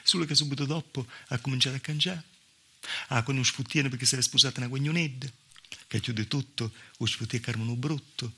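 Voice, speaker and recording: A man's voice: medium pitch, fast talker, fairly bright tone, breathy edge. A male stage actor playing a weary, mistreated southern Italian village wife, telling the story in a colloquial, confiding monologue with a Calabrian accent. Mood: rueful recounting. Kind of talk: theatrical monologue in dialect.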